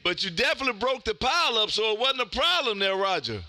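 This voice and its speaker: A man in his fifties with a loud, exuberant, folksy manner, chatting casually as he hosts amateur radio contacts. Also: sits high, sing-song, fast, music in the background. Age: 50s. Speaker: amateur radio operator hosting a live stream. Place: indoor home radio room.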